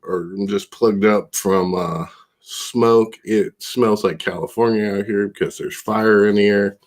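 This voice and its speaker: A congested man with a fairly low voice hosts a casual podcast. He rambles loosely and swears freely, in the easy style of a late-night talk show. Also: steady tone, measured pace. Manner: loose, profane, rambling, hype-man announcing. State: congested, stuffed-up. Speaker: podcast host.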